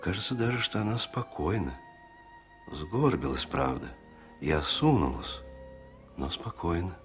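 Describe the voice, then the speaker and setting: A man narrating a 1970s Soviet radio drama in a measured, literary storytelling manner. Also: deep voice, expressive. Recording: loud music under the voice.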